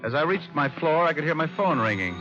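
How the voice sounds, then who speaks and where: An actor playing a wry, tough American private detective in an old radio drama, speaking in a laconic, offhand way. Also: male voice, fairly high pitch, big pitch swings, a little fast. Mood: coolly narrating. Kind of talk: 1940s-50s radio drama acting and first-person narration. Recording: dull old radio recording with music.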